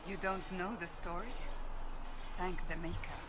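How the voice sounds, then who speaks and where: An actress playing a stern, proud middle-aged warrior woman in a video game, speaking in a measured, formal and grave way. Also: lowish voice, steady tone, very noisy, lightly acted. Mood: solemn, resolute. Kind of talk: game dialogue acting. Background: game music.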